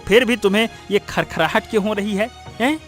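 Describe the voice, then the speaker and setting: Guy doing cranky cartoon husband, high voice, sarcastic n impatient, scheming type. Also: a little fast, fairly bright tone, faint music behind. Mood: irritated. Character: irritable scheming husband bickering with his wife. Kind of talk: Hindi moral-story cartoon dubbing.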